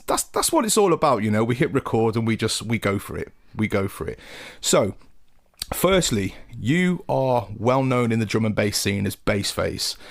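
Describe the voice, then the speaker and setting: Upbeat podcast host, man. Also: mid-range voice. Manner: upbeat, calls the guest mate. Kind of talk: casual podcast hosting.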